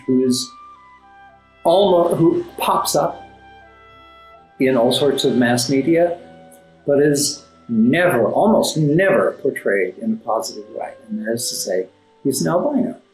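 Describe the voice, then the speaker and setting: Roomy-sounding man, articulate and halting. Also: mid-range voice, expressive, darkish tone. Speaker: guest playwright. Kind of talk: conversational interview answers. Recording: roomy echo, music underneath. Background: classical background music.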